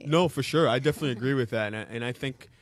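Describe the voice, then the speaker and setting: A man in his thirties from the Democratic Republic of Congo, a guest speaking in a measured way with frequent ums. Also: medium pitch, no room sound. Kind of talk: interview conversation.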